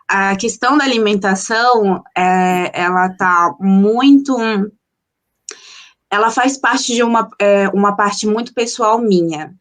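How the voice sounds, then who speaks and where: A woman from the Amazon, giving an informal, personal lecture and reflectively pausing to find her words. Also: medium pitch. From Colombia, grew up in Manaus, Brazilian Amazon. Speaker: guest lecturer, anthropologist.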